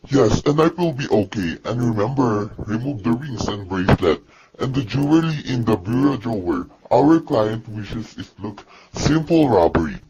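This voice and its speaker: A man's voice: mid-range voice, lively intonation, normal speed, dark tone, lightly acted, poor audio. A man playing a cold crime boss in a radio drama, giving orders in a matter-of-fact, commanding way.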